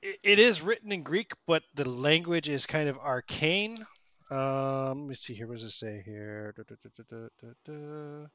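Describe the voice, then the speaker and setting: A bearded middle-aged man in a small home room, narrating a tabletop game as game master on a headset mic with a chatty, relaxed manner. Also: medium pitch, normal speed, no echo. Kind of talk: narrating a tabletop game over a video call.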